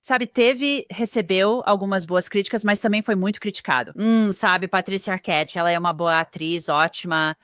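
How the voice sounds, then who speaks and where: A woman hosting an interview, asking questions in a measured, conversational way. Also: mid-range voice, a bit bright, no echo, studio quality.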